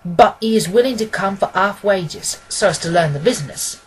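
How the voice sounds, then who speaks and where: A woman's voice: mid-range voice, expressive, normal speed, a little hiss or hum, voice pushed up, close to an everyday voice, poor audio. A woman voicing a plain-spoken, earnest male pawnbroker who rambles a little, in a storybook reading.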